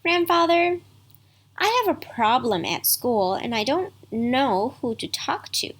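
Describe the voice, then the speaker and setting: A woman voicing a curious, excitable young granddaughter in a bedtime story, with eager questions. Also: sits high, takes it slowly, breathy edge, pitched above the performer's own voice, full character voice. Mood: troubled.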